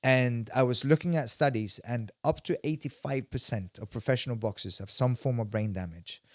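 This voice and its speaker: A man's voice: mid-range voice, normal speed, no room sound, studio quality. A man in his forties from Sweden, a martial arts instructor telling his story as a podcast guest in emphatic bursts, often restarting his sentences. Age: 40s. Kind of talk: casual interview storytelling.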